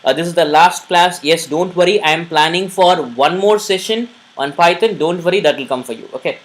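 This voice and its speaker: A man's voice: a little high, a little fast. A man teaching a live online programming class. He repeats each point and often checks that his listeners are following. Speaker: programming instructor.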